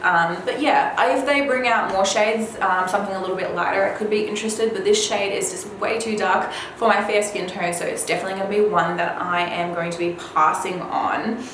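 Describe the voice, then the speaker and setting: Female beauty vlogger, chatty and informal, mid-pitched with a slight cold rasp, recorded in a strongly reverberant room. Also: steady tone, brisk. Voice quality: slightly raspy. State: slightly under the weather with a cold. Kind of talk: casual chat to viewers about product releases. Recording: very echoey room, faint background noise.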